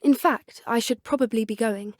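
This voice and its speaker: Woman playing a plucky teenage witch, British accent, fairly high voice, earnest and polite, video game dialogue, dry close recording. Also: moderate pace, no echo, natural voice. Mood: concerned, earnest. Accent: British English. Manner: polite, earnest, old-fashioned schoolgirl phrasing. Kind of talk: scripted game character dialogue.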